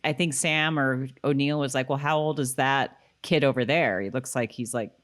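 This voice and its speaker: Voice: medium pitch, moderate pace, fairly bright tone. A podcast co-host chatting casually and reading recap passages aloud in a conversational way.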